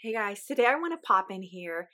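Brief: woman host, voice weak from illness, earnest and encouraging, middle pitch. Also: very animated, fairly quick, pushed and bright. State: weak, recovering voice. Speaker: podcast host. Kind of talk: casual podcast monologue.

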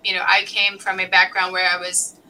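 A woman's voice: fairly low pitch, monotone, fairly quick, bright, forceful tone, low-quality recording. A woman from Lebanon hosting a live podcast interview, chatty and loose, with many ums and restarts. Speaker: podcast host.

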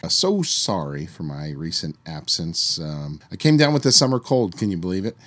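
A man hosting his own history podcast, talking casually with a slightly hoarse voice after a summer cold, with the odd filler and restart. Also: low-pitched, very animated, normal speed, a bit bright. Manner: conversational, with fillers and restarts while recounting history. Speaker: podcast host. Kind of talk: solo history podcast narration.

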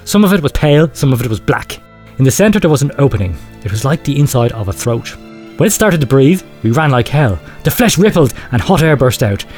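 A man playing a grave researcher, telling a horror confession in a measured, clinical and ominous way. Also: mid-range voice, big pitch swings, a little mellow, soft background music. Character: grave male researcher confessing secret horrific experiments. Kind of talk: dramatic horror storytelling, read aloud.

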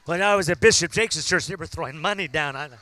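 A man, preaching a sermon and building to loud, fervent call-outs to the congregation. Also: on the high side, fast talker. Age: 50s. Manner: preaching with rising shouts and call-outs to the crowd. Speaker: pastor.

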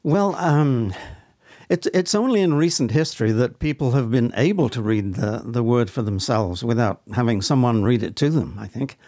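A man's voice: medium pitch, lively intonation, moderate pace, darkish tone, no echo. Thoughtful, hesitant man in a dry studio. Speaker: stage actor, podcast guest. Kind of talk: conversational interview answers.

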